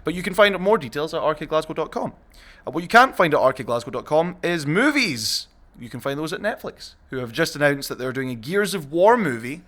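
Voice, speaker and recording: Male podcast host, jokey and casual, quick delivery with very lively intonation. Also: on the high side. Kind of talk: casual panel podcast chat.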